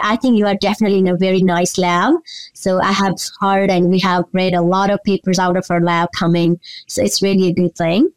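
A woman's voice: medium pitch, clean tone, no echo, low-quality recording. A woman from Nepal hosts an interview with eager enthusiasm. She rambles as she builds up to her questions, and her voice sounds close and dry. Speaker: podcast host. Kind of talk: interview chat.

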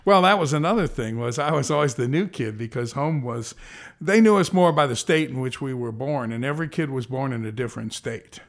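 Interview guest, man in his sixties, gravelly, rambling storyteller. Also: mid-range voice, animated, a little fast. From American Southwest. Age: 60s. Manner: rambling, self-correcting anecdotes with playful voices. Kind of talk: reflective storytelling.

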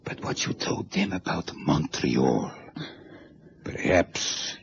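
An actor playing a cold, measured Soviet interrogator in a 1960s radio drama, clipped and coldly polite. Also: male voice, extremely low pitch, huge pitch swings, vocal fry, slightly rough, heavily acted. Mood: menacing. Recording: dull old radio recording, faint hiss.

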